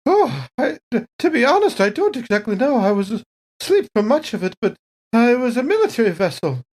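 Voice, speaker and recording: A man's voice: extremely high pitch, big pitch swings, a little fast, a little mellow, heavily acted. A man voicing a genteel, scatterbrained lady android tourist in a tabletop game, polite and rambling. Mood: flustered, chatty. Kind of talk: tabletop role-play acting.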